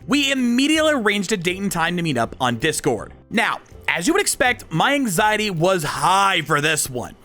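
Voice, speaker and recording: A man's voice: high voice, pushed and bright. A man narrating a scripted personal story as a video host, with emphatic, theatrical delivery. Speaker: video host, anime reviewer. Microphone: close desk microphone setup. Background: music.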